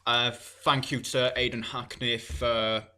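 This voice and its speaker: Bright-voiced sweary lad. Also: male voice, medium pitch, little pitch movement, slow. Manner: sweary, blunt, rambling. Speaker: podcast co-host. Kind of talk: casual chat.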